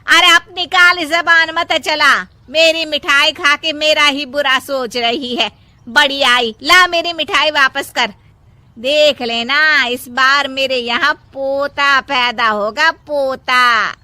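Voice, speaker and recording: Female performer as nagging grandmother and mother-in-law, very high piercing voice, slow emphatic delivery, storybook melodrama. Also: sharp tone, dry recording, heavily acted. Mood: indignant scolding. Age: older. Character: scolding, old-fashioned mother-in-law, bitter and melodramatic.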